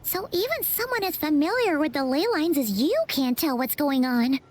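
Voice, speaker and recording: A woman voicing a tiny, childlike, chatty and opinionated fairy companion in a video game, with a squeaky way of talking. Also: expressive, normal speed, a little mellow. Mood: curious. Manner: refers to self in third person, squeaky.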